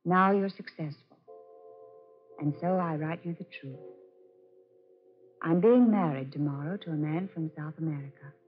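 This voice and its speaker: A woman's voice: low-pitched, moderate pace, pauses often, natural voice. An actress in a 1940s radio drama playing a cold, dismissive woman who jilts her suitor. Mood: cold, detached. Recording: muffled old 1940s radio recording.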